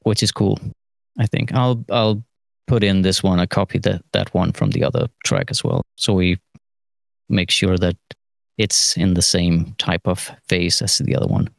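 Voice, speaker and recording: A middle-aged man with a fairly low voice talks through a studio task. He pauses and muses as he goes, in an informal tutorial way. The sound is dry, with little room. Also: lively intonation, vocal fry, no echo. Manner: halting, musing, trailing phrases. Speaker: mixing engineer giving a lesson. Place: recording studio, seated at desk.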